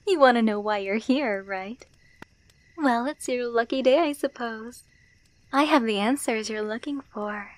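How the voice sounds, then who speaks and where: A woman's voice: a little high, a little slow, no room sound, close to an everyday voice. A woman playing a menacing, possessive female alpha werewolf, teasing and domineering in an ASMR roleplay, dropping into whispers.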